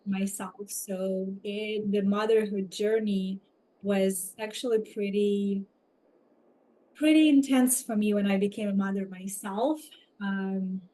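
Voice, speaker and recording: A woman from Ukraine with a Ukrainian accent, telling her personal story as an interview guest, reflective and halting as she picks her words. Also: mid-range voice, warm tone, rough recording. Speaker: podcast guest, somatic coach.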